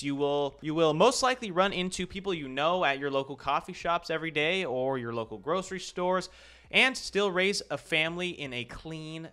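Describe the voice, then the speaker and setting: Bright, clear-voiced man giving a tour. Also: on the high side. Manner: loose, conversational, with fillers and restarts. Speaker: real estate agent and host. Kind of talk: casual map tour narration.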